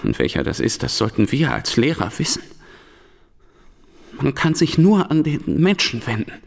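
An actor in a German radio play voicing a disillusioned, brooding schoolteacher back from war, speaking in impassioned, halting phrases. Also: male voice, medium pitch, sing-song, creaky, long reverb tail. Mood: grave, resolved. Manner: impassioned, halting rhetorical questions and short phrases.

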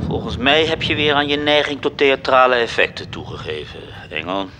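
A man's voice: medium pitch, normal speed, noisy background, lightly acted. A man playing a sly, knowing celestial superior in a radio drama, with a wry manner. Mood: mock reproving. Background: music.

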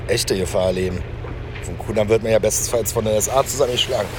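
An actor playing a chatty, nosy taxi driver making familiar small talk in a noisy car, in a radio play. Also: male voice, lowish voice, fast talker, natural voice.

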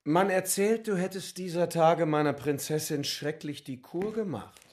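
A man's voice: a little high, moderate pace, noisy background, lightly acted. A man in a radio play, acting a vain, insecure young officer in love, with a theatrical, self-important manner. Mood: preening, eager.

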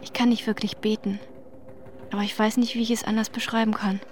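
A woman's voice: on the high side, flat delivery, brisk, a little mellow, close to an everyday voice. An actress playing a shaken professional woman in a German radio drama, telling her story in a reflective, descriptive first person. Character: shaken professional woman visiting a wrecked neighborhood.

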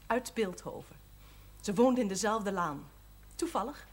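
An actress playing a jealous, hurt young wife from the south of the Netherlands, with a southern Dutch lilt, in an old TV drama. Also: female voice, mid-range voice, animated, measured pace, breathy edge. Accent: southern Dutch, Limburg. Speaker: doctor's patient. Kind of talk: 1970s TV drama acting.

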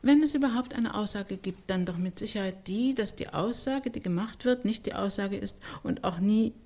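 A woman's voice: mid-range voice, normal speed, mellow, lightly acted. A woman playing a righteous intellectual art critic in a radio play, articulate, insistent and rhetorical. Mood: cool, superior. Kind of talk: radio play panel discussion.

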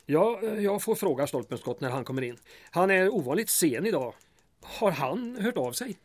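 A man's voice: high voice, sing-song. A man playing a small-town mayor in an audio drama, dryly teasing under polite, deferential wording. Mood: mildly irritated. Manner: dry, deferential wording laced with sarcasm.

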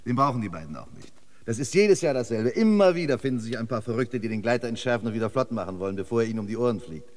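An actor playing a chatty, eccentric local guide in a decayed city, rambling and effusively hospitable in a radio drama. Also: male voice, mid-range voice, animated, racing, close to an everyday voice. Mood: eager welcoming. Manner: effusive, rambling, quirky hospitality, chuckles to himself. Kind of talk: German radio drama acting.

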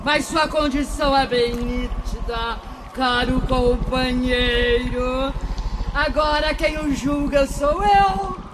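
An actress playing a cruel, gloating hag spirit in a radio drama, taunting and menacing in a theatrical way. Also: female voice, steady tone, slow pace. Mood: threatening. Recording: heavy rumbling ambient noise.

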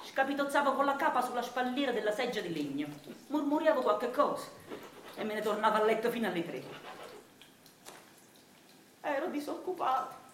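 A woman's voice: on the high side, expressive, normal speed, pauses often, reverberant, a little hiss or hum, lightly acted. A woman playing a meek, battered Neapolitan accountant husband, confiding plaintively and hesitantly in a radio monologue. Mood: plaintive, resigned. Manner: plaintive, hesitant, repeating himself, confiding to listeners.

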